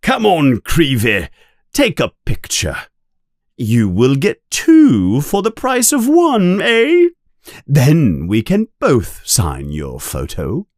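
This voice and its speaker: A man voicing a pompous, self-loving celebrity teacher with a booming, bossy manner in a storybook reading. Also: huge pitch swings, takes it slowly, no room sound, studio quality, full character voice. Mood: showy.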